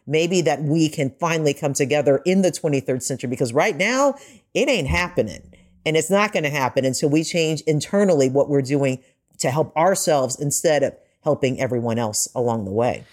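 A woman's voice: deep voice, lively intonation, brisk, a little mellow. A woman speaking as a podcast panelist, giving earnest, emphatic conversational commentary.

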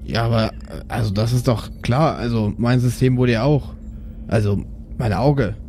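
A man plays a bumbling ship's captain in an improvised radio play. He has a mid-pitched voice, stumbles and repeats himself in casual talk, with faint noise behind him. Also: moderate pace, warm tone, lightly acted. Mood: muddled. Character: sleepy, bumbling male spaceship captain and doctor. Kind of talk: improvised audio drama.

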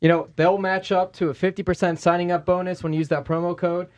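A man's voice: high-pitched, quick pace. Upbeat male podcast host. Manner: energetic, upbeat, pushes promo. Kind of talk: casual podcast chat with ad read.

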